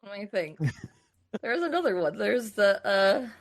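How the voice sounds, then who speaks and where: A woman's voice: mid-range voice, big pitch swings, measured pace. A woman joining a podcast chat, teasing her friends and laughing along. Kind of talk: casual chat on a live stream.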